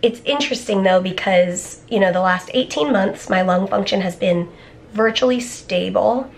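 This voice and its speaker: A woman's voice: medium pitch, moderate pace, creaky. Chatty woman vlogger, background noise. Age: 30s. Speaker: vlogger, cystic fibrosis patient. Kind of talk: casual vlog talk. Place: moving car and hospital clinic room. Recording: background noise, car and room ambience.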